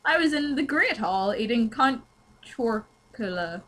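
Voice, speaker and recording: A woman's voice: fairly high pitch, expressive, a little slow, fairly bright tone. A woman giving a jokey, theatrical dramatic reading of fan fiction, giggly and sweary.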